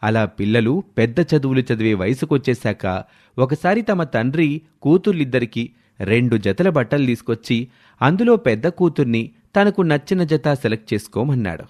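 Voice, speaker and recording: Male narrator for moral tales: mid pitch, highly varied melody, scripted storytelling delivery. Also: animated, moderate pace, a little mellow.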